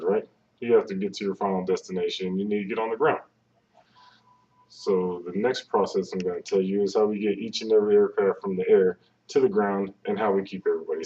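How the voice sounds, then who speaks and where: Low, mellow man on a distant webcam mic. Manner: plain-spoken, conversational, explains step by step. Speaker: air traffic control presenter. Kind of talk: informative explainer speech. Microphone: webcam microphone at some distance. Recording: distant, dull webcam microphone sound.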